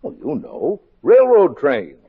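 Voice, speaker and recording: A man's voice: fairly high pitch, wildly swooping pitch, takes it slowly. An American man working as a radio announcer, delivering a scripted sponsor message in 1950s style. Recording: dull vintage 1950s radio recording.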